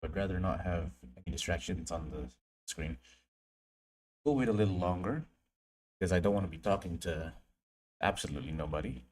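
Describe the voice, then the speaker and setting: A man's voice: low-pitched, brisk, long pauses, slight background noise. A young man from Indonesia, chatting casually on a livestream while he works, often mumbling to himself and trailing off. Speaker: streamer.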